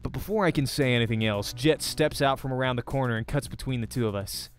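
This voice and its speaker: A man's voice: mid-range voice, quick pace, clear, resonant tone. A man hosting a let's play, informally reading visual novel narration aloud. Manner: informal, breaks off to comment. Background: background music.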